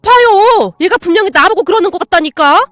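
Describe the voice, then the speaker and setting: A rude, whiny aunt, as a woman puts her on talks in a raised, shrill voice full of indignation. Her pitch swings high and wide as she complains and sneers. The sound is close and dry. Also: sing-song, a little fast, bright, forceful tone, no echo, soft background music, heavily acted. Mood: indignant.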